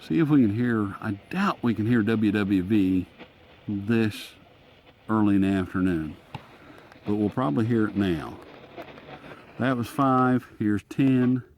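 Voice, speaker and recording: A man's voice: on the low side, unhurried, long pauses, darkish tone. A man casually demonstrating a gadget hands-on, thinking aloud in a folksy, informal way. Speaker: radio reviewer and host. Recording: clear static and radio noise behind.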